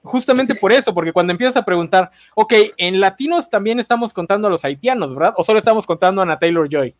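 A man's voice: high voice, expressive, dry recording, clean studio sound. An opinionated man, ranting sardonically on a podcast panel. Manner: opinionated, sardonic, quick rants. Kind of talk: casual panel chat.

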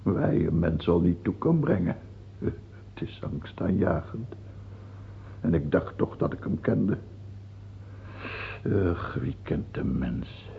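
Gravelly, peevish old king. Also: sits low, very animated, takes it slowly, long pauses, exaggerated voice. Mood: morbid brooding. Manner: rambling self-pity flaring into imperious ranting. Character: gaunt, grey old French king, peevish, morbid and tyrannical. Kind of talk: theatrical radio drama acting. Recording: dull, lightly noisy old radio recording.

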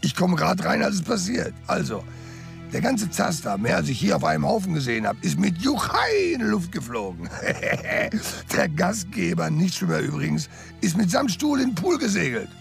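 A very gravelly, sardonic tough sidekick. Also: male voice, high-pitched, huge pitch swings, normal speed, faint music behind, heavily acted. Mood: mocking, amused. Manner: colloquial, mocking. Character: sardonic, street-tough male sidekick, drily amused. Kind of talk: German radio crime drama acting.

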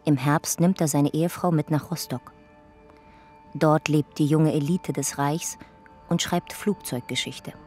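An actress narrating a radio drama family chronicle in a detached, clipped, documentary manner. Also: female voice, deep voice, fairly even intonation, a little slow, darkish tone. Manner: matter-of-fact, clipped, documentary. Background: faint music.

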